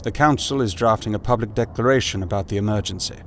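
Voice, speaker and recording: An actor playing a harried, despairing prime minister in an audio drama, weary and muttering. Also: male voice, lowish voice, fast talker, slight background noise, close to an everyday voice.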